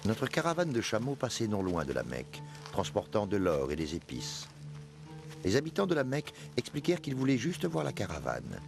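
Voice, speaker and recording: An actor voicing a proud, devout man recounting his past in solemn, measured narration for an animated film. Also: lowish voice, vocal fry, heavily acted. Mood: grave. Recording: music under the voice.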